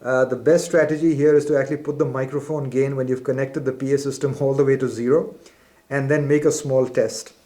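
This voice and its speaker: A man's voice: medium pitch, little pitch movement, fairly quick, dark tone. A man presenting a product in an instructional demonstration, explaining methodically step by step.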